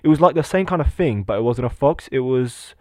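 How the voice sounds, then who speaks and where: A man's voice: medium pitch, lively intonation, dark tone, no room sound. Casual late-teen guy, dry close sound. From Lithuania, living in England. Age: 17, late teens. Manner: informal, halting, repeats himself. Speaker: podcast guest, meme page owner. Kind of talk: casual chat, informal storytelling.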